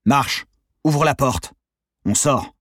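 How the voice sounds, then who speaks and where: Guy doing a crazed cornered criminal boss, yelling orders, loud and husky, audiobook thriller. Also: medium pitch, lively intonation, slow, no room sound, clean studio sound, voice pushed up, heavily acted. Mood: barking orders. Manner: shouting, barking orders. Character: deranged, desperate armed male gang leader at bay.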